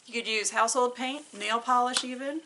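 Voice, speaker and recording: A woman talks casually to children as a story-time host. Her voice is bright and a little creaky, with some faint noise in the recording. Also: fairly high pitch, fairly quick, rough recording.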